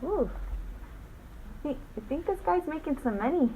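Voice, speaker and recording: A woman with a high, very lively voice talks casually to young children. She poses simple questions, and faint noise sits in the recording. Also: measured pace. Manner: asks simple leading questions. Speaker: teacher commenting on story. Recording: clearly noisy background.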